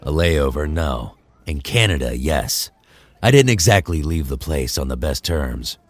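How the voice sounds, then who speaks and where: A man playing a gruff, sardonic loner hero in an audio drama, with a gravelly voice and terse delivery. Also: a bass voice, expressive, measured pace, heavily acted. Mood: grumbling. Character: gruff cigar-smoking male loner hero with sardonic swagger.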